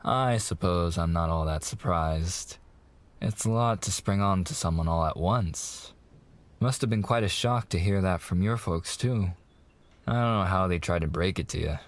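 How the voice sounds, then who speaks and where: An actor playing a mafia boss's young son, an eager, sweet fiancé coaxing in slangy gangster talk in an intimate roleplay. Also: male voice, low-pitched, normal speed, natural voice. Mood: sheepish. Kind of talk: boyfriend ASMR roleplay, intimate monologue.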